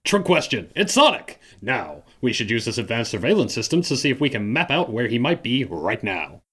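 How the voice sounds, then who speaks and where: A man's voice: mid-range voice, big pitch swings, moderate pace, fairly bright tone, slightly rough. A man playing a stubborn, boastful cartoon echidna hero in a fan audio drama, pompous and melodramatic. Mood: gleeful, boastful.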